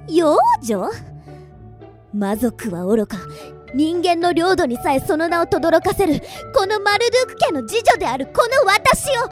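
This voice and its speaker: A little demon aristocrat girl in an anime-style radio play. Her voice is very high and leaps about in pitch. She talks down to everyone with pompous, commanding airs and takes offence easily. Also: very animated, measured pace, faint music behind, exaggerated voice. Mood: offended. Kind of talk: Japanese anime-style radio drama acting.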